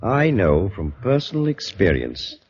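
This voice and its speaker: Husky, low, quietly sinister confidant. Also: male voice, wildly swooping pitch, full character voice. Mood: measured, reasoning. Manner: deliberate, measured, theatrical. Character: composed, probing male confidant, quietly sinister, worldly. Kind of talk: 1940s-50s American radio drama acting. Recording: muffled old radio broadcast recording.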